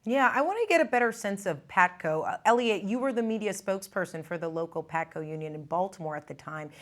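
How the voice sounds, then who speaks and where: A woman working as a news interviewer, with a voice of average pitch. She speaks in a conversational broadcast style, setting up topics and questions for her guests. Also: lively intonation, fast.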